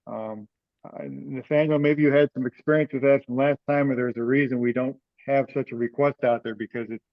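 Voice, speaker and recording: A man explaining things casually on a teleconference, somewhat hesitant and measured. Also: mid-range voice, fairly even intonation, gravelly, no room sound. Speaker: meeting participant. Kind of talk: casual explanatory call discussion. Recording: dull, lo-fi teleconference audio.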